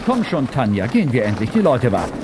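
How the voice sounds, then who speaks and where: A man's voice: mid-range voice, sing-song, quick pace, noisy background, natural voice. An actor playing a condescending businessman in an audio drama. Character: smooth, condescending businessman hosting a charity race.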